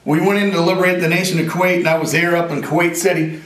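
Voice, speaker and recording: Raspy-voiced man, rambling and earnest. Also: sits high, fairly even intonation, quick pace, a bit bright. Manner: rambling, self-joking, earnest. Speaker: inventor and veteran interviewee. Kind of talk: casual interview talk. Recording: roomy echo, from a distance.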